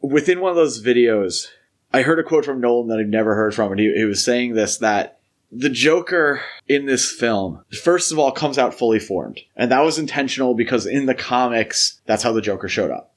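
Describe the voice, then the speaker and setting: Male podcast co-host, mid-pitched voice, casual and analytical, explains as he chats about film. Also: expressive, normal speed.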